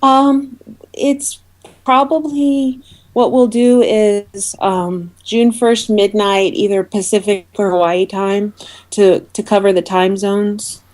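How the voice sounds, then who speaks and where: A woman speaking as an interview guest, explaining things informally in a halting way with many ums. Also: medium pitch, level tone, darkish tone. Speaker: interview guest, awards organiser.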